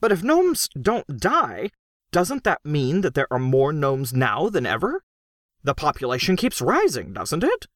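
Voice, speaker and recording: A man's voice: on the high side, wildly swooping pitch, unhurried, a bit bright, dry recording, studio quality, pitched above the performer's own voice, exaggerated voice. A man doing a nervous, bewildered fellow. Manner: nervous, hesitant. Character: bewildered human man turned gnome.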